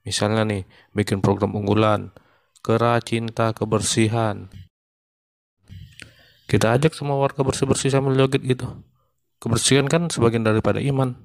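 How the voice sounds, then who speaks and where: A male cartoon monkey comedian, played by a man works the crowd with a low, level voice. His delivery stays flat and deadpan throughout. The sound is dry and close, with no room around it. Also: no echo, natural voice. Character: male monkey stand-up comic running for mayor. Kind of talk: stand-up comedy routine.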